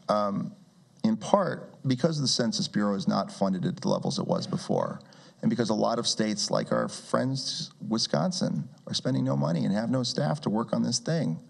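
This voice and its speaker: A man in his forties with a rough, gravelly voice, sitting low in pitch. He answers audience questions at a community meeting, speaking into a handheld mic. He talks in a loose, conversational way, restarting words and dropping wry asides. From Brazil. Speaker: guest speaker. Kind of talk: public talk with audience questions.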